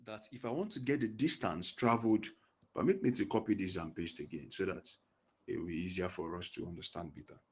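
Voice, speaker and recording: Guy teaching physics on a video call, lowish voice, headset mic, keeps asking and answering his own questions. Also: a little fast, breathy edge. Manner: asks leading questions and fills in the answers. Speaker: physics tutor. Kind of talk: online lesson.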